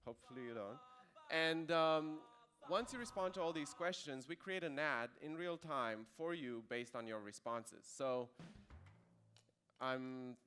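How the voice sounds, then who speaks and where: A man's voice: fairly high pitch, lively intonation, a little slow, a bit bright, soft background music. A man in his thirties with a Romanian accent, giving a conversational conference talk with dry asides. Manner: conversational with dry jokes and fillers. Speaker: conference speaker. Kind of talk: keynote presentation.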